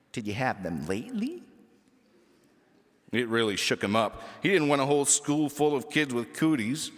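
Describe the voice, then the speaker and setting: A man's voice: medium pitch, fairly bright tone, long reverb tail. A man reading a children's book aloud as a storyteller, with theatrical, emphatic delivery. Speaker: audiobook reader.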